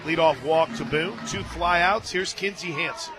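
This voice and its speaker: A man calling softball live on radio as play-by-play announcer, mixing clipped pitch calls with conversational asides. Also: unhurried, music in the background. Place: radio booth at an outdoor softball stadium. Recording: live stadium booth, clear crowd noise. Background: stadium crowd.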